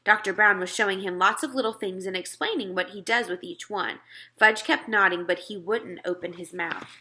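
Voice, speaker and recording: A woman reading a children's story aloud with expressive narration. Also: mid-range voice, normal speed.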